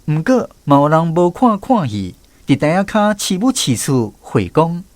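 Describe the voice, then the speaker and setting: A man's voice: fairly high pitch, sing-song, normal speed, darkish tone, dry recording. A man with a Taiwanese accent giving scripted storytelling narration for a radio drama.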